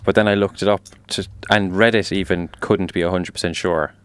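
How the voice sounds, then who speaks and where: A man's voice: sits low, fairly even intonation, brisk, a bit bright, smooth, no room sound. A man co-hosting a podcast, giving blunt opinions in casual chat and often trailing off into mumbles. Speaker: podcast co-host.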